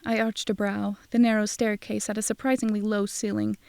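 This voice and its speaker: A woman's voice: medium pitch, level tone, fairly quick, gravelly, background noise, natural voice. A woman playing a wry, cynical young fake psychic, narrating an audio drama in a sardonic, confiding way. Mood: wry, dry. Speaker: first-person narrator and lead character.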